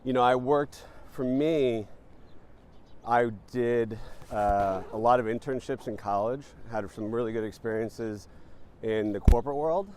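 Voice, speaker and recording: Founder type, a man at mid pitch, easygoing and reflective, recorded outdoors with light traffic. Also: unhurried. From born in Panama, raised in New Jersey. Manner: relaxed, thoughtful, frequent ums and uhs. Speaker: startup founder, guest. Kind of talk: casual interview answers.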